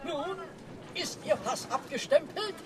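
An actor in a German radio drama playing a zealous detective, insistent and theatrical, swinging from sly to indignant. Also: male voice, very high-pitched, lively intonation, measured pace, a bit bright, faint music behind, heavily acted. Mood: friendly, probing. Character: zealous, excitable male detective hunting a thief. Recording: roomy studio echo.

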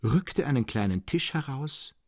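A man with a standard German accent reads a literary story for radio in an expressive, carefully phrased storytelling manner. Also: medium pitch, a little slow, no room sound, clean studio sound. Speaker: audiobook narrator.

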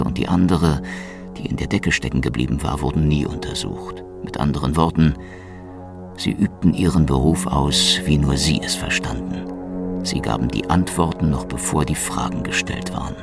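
Gravelly, deep-voiced, cynical secret policeman. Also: normal speed. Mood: wry, brooding. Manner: sardonic, world-weary. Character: cynical, hard-boiled male Soviet secret police officer. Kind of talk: German radio drama, first-person noir narration and dialogue. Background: music.

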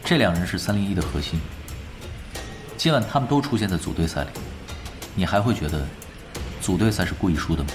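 An actor playing a calm, knowing young veteran gamer in a Chinese radio drama, talking in an unhurried, wry way. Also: male voice, sits low, music in the background. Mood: analytical, confident.

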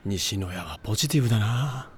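A man playing a timid, self-deprecating high-school upperclassman in an anime audio drama, speaking mildly and hesitantly. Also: lively intonation, fast, slightly rough, dry recording.

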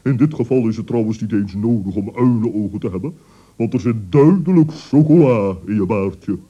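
A fussy stern owl. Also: male voice, fairly low pitch, normal speed, warm tone, husky, highs cut off. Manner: pompous, fussy, pedantic. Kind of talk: 1960s radio play acting.

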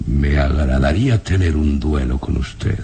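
An actor playing a proud, menacing old baron in a radio drama, speaking haughtily and deliberately. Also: male voice, rumbling low, dark tone, a little hiss or hum. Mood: challenging.

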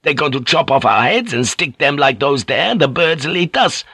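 A man's voice: medium pitch, animated, normal speed, fairly bright tone, slightly rough, dry recording, studio quality. A man voicing a frantic, foul-mouthed sailor in an audiobook, ranting and blustering as he cracks into madness. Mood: crazed. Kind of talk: dramatic audiobook character voice.

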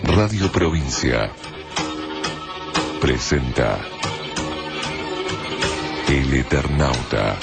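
A man's voice: very deep voice, lively intonation, very slow, vocal fry, pauses often. A man working as a radio announcer, reading a scripted programme introduction. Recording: loud music behind.

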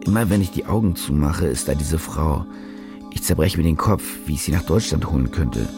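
A man's voice: rumbling low, fairly quick, over music. An actor playing a world-weary male reporter, narrating his story wryly and colloquially in a radio drama. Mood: brooding. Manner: wry, colloquial. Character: cynical, world-weary fake war reporter telling his own story.

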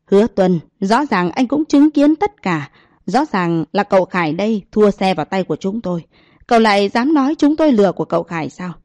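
A woman's voice: medium pitch, sing-song, a little mellow, dry recording, highs cut off. Woman voicing an indignant young woman. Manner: angry, quick.

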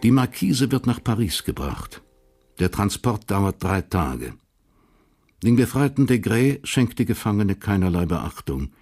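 A man with a husky voice narrating a radio drama in a sober, matter-of-fact way. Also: lowish voice, sing-song, a little slow, darkish tone.

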